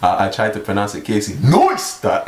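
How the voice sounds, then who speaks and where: A young man talks casually to his camera in a mellow, lowish voice, rambling and joking at his own expense. The room is echoey, with a faint hiss underneath. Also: wildly swooping pitch, normal speed. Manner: rambling, joking, self-mocking. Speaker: vlogger. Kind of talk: casual vlog monologue.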